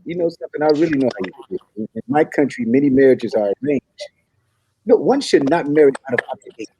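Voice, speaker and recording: A man's voice: a little high, very animated, fast talker, dark tone, lo-fi sound. A man playing a polite, earnest young African prince with formal, hesitant courtesy in a comedy table read. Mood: gentle, earnest. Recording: clear background noise with clicks.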